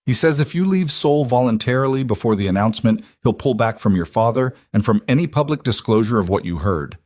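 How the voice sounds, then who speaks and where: A man voicing a controlled, quietly intense mafia boss in an audiobook, terse and grave. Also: mid-range voice, lively intonation, fairly quick, no room sound, natural voice. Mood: grave, urgent. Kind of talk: audiobook character dialogue.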